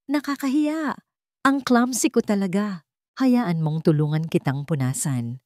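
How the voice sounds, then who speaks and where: A woman voicing a frantic, scheming wife in an audio drama, shrill, urgent and pleading. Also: expressive, unhurried, darkish tone, dry recording, studio quality, close to an everyday voice. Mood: feigned panic.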